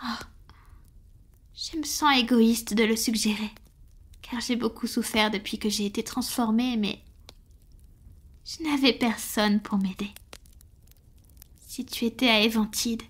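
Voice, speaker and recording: Woman playing a breathy, slow-spoken, loving vampire lady. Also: on the high side. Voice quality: breathy, often whispering. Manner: slow, gentle, affectionate, with pauses. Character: tender, devoted female vampire in love with a mortal. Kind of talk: French roleplay audio, soft intimate ASMR acting.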